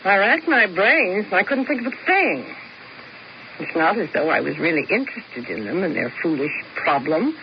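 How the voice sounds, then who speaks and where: A woman's voice: lowish voice, sing-song, normal speed. An actress playing a coolly scheming, refined woman in a 1970s radio mystery, with arch, precise, theatrical phrasing. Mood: arch, composed. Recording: muffled, hissy old radio recording.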